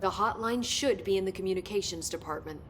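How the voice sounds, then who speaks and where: A woman's voice: medium pitch, fast talker, heavy background noise, lightly acted. An actress playing an eager, chatty researcher, articulate and analytical as she explains. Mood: eager, thoughtful. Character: eager, chatty woman researcher at secretive bureau. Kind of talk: naturalistic game acting, explanatory dialogue.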